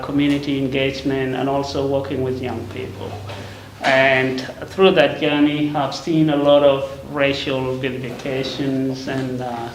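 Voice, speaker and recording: A man from South Sudan, a community worker, giving panel remarks in a measured way with pauses. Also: mid-range voice. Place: community forum venue. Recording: echoey hall, light noise.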